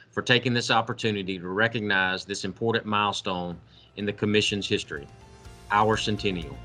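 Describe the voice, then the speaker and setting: A man's voice: lowish voice, pushed and bright. A man in his forties delivering scripted formal thanks as an agency director. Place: indoors before a logo backdrop.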